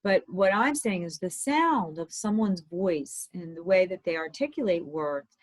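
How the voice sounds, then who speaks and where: A woman dating coach with a slightly hoarse voice, giving upbeat advice in conversation. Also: fairly low pitch, lively intonation, measured pace. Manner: upbeat, advising. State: slightly hoarse from talking all day.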